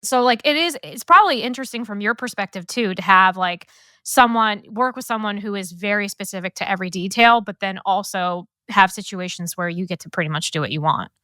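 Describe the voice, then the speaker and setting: Bright-voiced woman interviewer. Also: medium pitch, fairly quick, no room sound. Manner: friendly, agreeable, hesitant phrasing. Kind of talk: casual interview questions.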